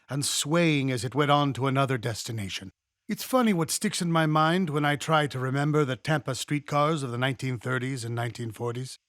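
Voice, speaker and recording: A man narrates a memoir with a measured, expressive storyteller's delivery. His voice sits a little high for a man. The recording is fairly dry, with little room sound. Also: dry recording. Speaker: audiobook-style reader of a memoir. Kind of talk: scripted narration, nostalgic memoir reading.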